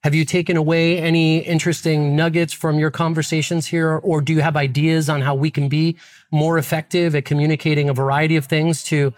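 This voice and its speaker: A man's voice: a little high, little pitch movement, a little fast, no room sound. Upbeat male podcast host. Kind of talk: scripted podcast intro, then interview.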